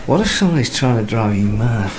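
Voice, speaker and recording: A man's voice: mid-range voice, very animated, quick pace, close to an everyday voice. A man playing an agitated, desperate British husband in a radio thriller, pleading and anguished. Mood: agitated, exasperated. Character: frightened, drugged young husband, agitated and desperate. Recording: echoey room with faint noise.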